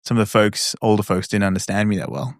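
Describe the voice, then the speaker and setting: A man's voice: fairly low pitch, fairly even intonation, quick pace, no room sound, clean studio sound. A male podcast host with a mixed Australian and British accent, chatty and rambling in a casual way. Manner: chatty, rambling, self-deprecating. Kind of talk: casual interview chat.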